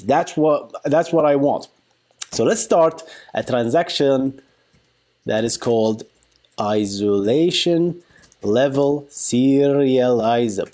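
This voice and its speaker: A man giving an informal software tutorial, talking through a live demo in a playful, colloquial way and thinking aloud. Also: medium pitch. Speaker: software engineering instructor.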